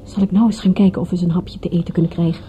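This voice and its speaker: Actrice als donker klinkende, nuchtere detectivepartner. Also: female voice, lowish voice, flat delivery, rapid-fire, soft background music, close to an everyday voice. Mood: unconvinced, practical. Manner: dry, colloquial, gently teasing. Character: down-to-earth, teasing female companion of a detective. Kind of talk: radio drama dialogue. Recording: dull, muffled old radio-play recording.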